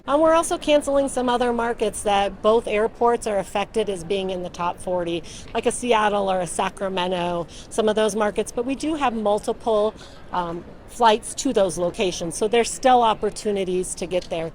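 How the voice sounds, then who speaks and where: Woman interviewed over clear background noise. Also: mid-range voice, expressive, normal speed, a little mellow. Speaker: airline operations executive, interviewee. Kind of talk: unscripted interview answers.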